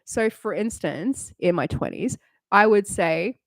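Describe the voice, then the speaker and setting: A woman's voice: medium pitch, lively intonation, measured pace, vocal fry, no echo, rough recording. Candid, swearing woman in her late thirties. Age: late 30s. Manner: candid and rambling, swears freely, laughs often. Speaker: streamer. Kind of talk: casual chat on a live stream.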